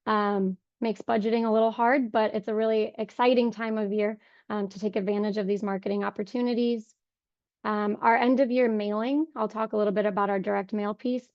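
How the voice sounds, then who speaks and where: A young woman with a voice hoarse from a cold, giving an earnest webinar talk on fundraising with many ums. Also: medium pitch, level tone, fairly quick, no room sound. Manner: frequent ums, earnest and conversational. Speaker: fundraising director. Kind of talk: webinar presentation. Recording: dull lo-fi dry sound.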